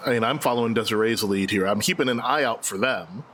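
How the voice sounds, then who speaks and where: A man's voice: fairly low pitch, fast, fairly bright tone, a little hiss or hum. A man playing in a tabletop role-playing game, easygoing and agreeable in casual chat. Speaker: player.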